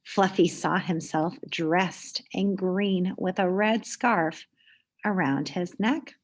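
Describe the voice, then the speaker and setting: A woman reading aloud to children in an expressive storytelling style, with deliberate pauses and emphasis. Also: on the low side.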